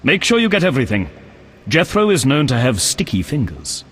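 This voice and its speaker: An actor playing an earnest, dignified knight in a video game, speaking in a formal manner. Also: male voice, mid-range voice, wildly swooping pitch, brisk, fairly bright tone, breathy edge, exaggerated voice. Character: earnest, dignified male knight who hunts beasts. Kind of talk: video game character acting. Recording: long echo of a large hall.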